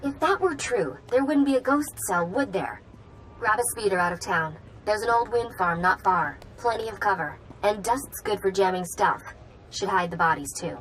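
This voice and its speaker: Brisk, wry young woman field informant, acted by a woman. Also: mid-range voice, lively intonation, a bit bright, a little hiss or hum, close to an everyday voice, rough recording. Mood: urgent, wry. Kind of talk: video game cutscene acting.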